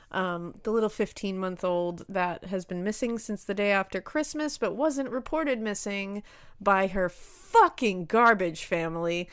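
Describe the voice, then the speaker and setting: Brief: Boston woman, podcast co-host, casual, animated, dry studio sound. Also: mid-range voice, clear, resonant tone, no room sound. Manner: animated, swears freely, laughs often.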